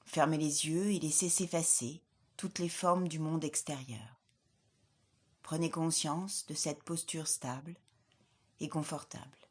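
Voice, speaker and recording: A woman leading a guided yoga nidra relaxation as an instructor, in a calm, soothing, measured way with soft, breathy phrase endings. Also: sits low. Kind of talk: guided relaxation meditation, scripted.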